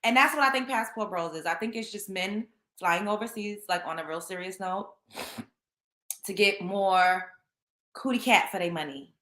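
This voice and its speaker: A woman's voice: mid-range voice, moderate pace, sharp tone, roomy sound. A young woman, hosting a show and giving a blunt, scolding commentary monologue that repeats its points. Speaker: show host.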